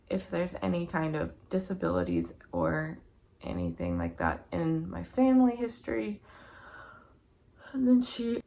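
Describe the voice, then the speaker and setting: A young woman in a casual, chatty vlog update, her voice tired and croaky from just waking up, rambling with lots of fillers. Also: fairly low pitch. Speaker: vlogger.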